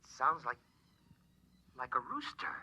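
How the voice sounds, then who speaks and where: A man's voice: medium pitch, very animated, unhurried, bright, forceful tone, slightly rough, exaggerated voice. A man playing a determined middle-aged scientist father in a 1950s radio drama, with an intense, clipped, commanding delivery. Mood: tense, amazed. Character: urgent, determined scientist father giving orders. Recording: dull vintage radio recording.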